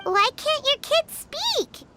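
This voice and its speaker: A woman's voice: very high-pitched, sing-song, takes it slowly, heavy background noise, heavily acted. A woman voicing a tiny, cheerful, naive childlike fairy companion in a video game, exclaiming and talking about herself by name. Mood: curious, eager. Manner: speaks of self in third person, exclaiming, naive.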